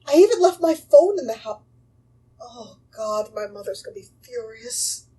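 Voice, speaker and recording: A woman's voice: fairly high pitch, very animated, normal speed, dark tone, far from the mic. A woman acting an anxious, pleading young mother haunted by a ghost in an audio drama.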